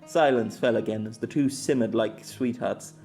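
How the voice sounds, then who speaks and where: A man's voice: mid-range voice, moderate pace, mellow, faint music behind. A man reading scripted story narration as an amateur audiobook narrator.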